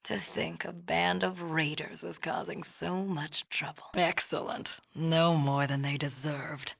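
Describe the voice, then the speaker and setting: A stern female ruler of a walled city speaks in a low, husky voice. Her delivery is formal and clipped, imperious and condescending, as scripted game dialogue. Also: fairly even intonation, a little slow, full character voice. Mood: formally pleased.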